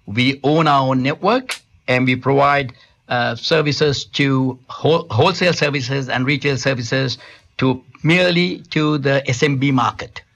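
A man's voice: mid-range voice, a little slow, vocal fry, fairly bright tone. Hesitant man from Sri Lanka. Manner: hesitant, many restarts and fillers. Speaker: telecom company founder, guest. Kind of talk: studio interview answers. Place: studio.

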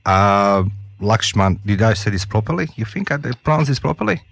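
Very low-voiced host guy, english not his first language, jokey and halting, dry studio sound. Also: big pitch swings, gravelly, fairly bright tone, dry recording. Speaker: radio show host. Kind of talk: joking studio interview.

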